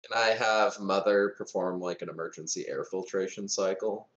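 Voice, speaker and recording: A man's voice: low voice, normal speed. A man chatting casually as a player in a tabletop roleplaying game, recorded with a dull, roomy sound. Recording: dull, echoey room sound.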